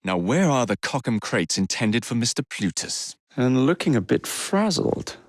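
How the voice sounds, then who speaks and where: A male actor plays a flippant young Victorian assassin with an English accent. His delivery is animated and sardonic, full of swagger for a video game. The sound is very dry and clean of room. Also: medium pitch, normal speed, dry recording, lightly acted. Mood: confident. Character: cocky, irreverent young Victorian London gang-leader assassin, man. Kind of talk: video game character voice lines.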